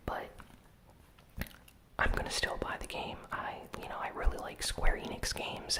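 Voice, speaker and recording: A person whispering a casual ASMR gaming news recap in a breathy, unvoiced, soft-spoken and informal way. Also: poor audio. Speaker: host of a gaming news show.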